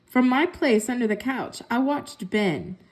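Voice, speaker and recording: A woman reading a children's book aloud as a storyteller, with expressive narration. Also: a little high, a little slow, rough recording. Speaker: story reader.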